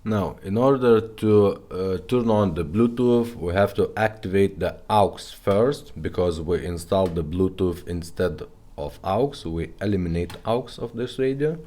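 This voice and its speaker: A man's voice: deep voice, measured pace, warm tone. A man giving a casual, step-by-step product demonstration in an instructional way. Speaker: presenter demonstrating retrofitted car radios.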